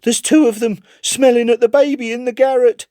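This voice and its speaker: A man's voice: extremely high pitch, steady tone, a little fast, dark tone, dry recording, clean studio sound, voice pushed up. A man voicing a terrified young ship's carpenter in a storybook reading, pleading desperately and fervently. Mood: panicked crying out.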